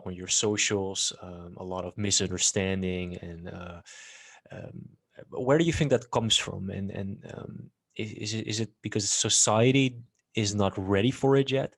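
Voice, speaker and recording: Low-voiced Dutch-accented man hosting. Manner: hesitant, many fillers and restarts. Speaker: podcast host. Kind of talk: casual interview.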